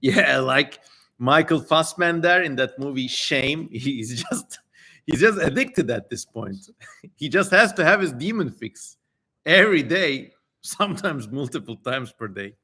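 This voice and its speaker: A man's voice: a little high, lively intonation, a little slow, bright, forceful tone. A man hosting a casual livestream, reading aloud and commenting in a wry, playfully theatrical way. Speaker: livestream host.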